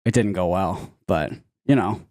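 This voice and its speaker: A man talking casually as a podcast guest, hesitant and self-deprecating, with a self-described monotone way of speaking. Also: low voice, moderate pace, a little hiss or hum. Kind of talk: casual chat.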